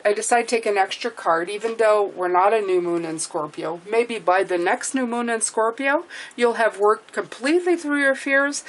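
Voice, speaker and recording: A woman whose first language is French, speaking English with a French accent, gives a casual tarot reading and keeps checking in with "okay?". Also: fairly high pitch. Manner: chatty, reassuring, often ends with "okay?". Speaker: tarot reader.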